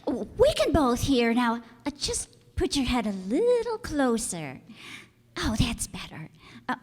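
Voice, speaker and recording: A woman's voice: a little high, wildly swooping pitch, a little slow, breathy edge, exaggerated voice. A woman playing an eager, affectionate young bride with a hesitant, stammering delivery in live old-time radio drama. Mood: flustered. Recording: echoey hall, live stage pickup.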